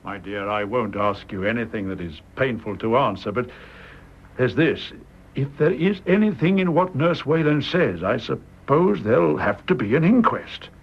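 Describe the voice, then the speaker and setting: An actor with a British accent playing a grave, considerate gentleman in a radio drama, measured, courteous and probing. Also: mid-range voice, expressive, gravelly, husky, muffled recording.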